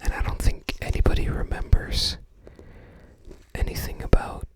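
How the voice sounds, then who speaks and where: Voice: moderate pace, poor audio. An ASMR creator whispering in short, hesitant, rambling phrases, close to the microphone. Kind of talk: whispered ASMR book browsing.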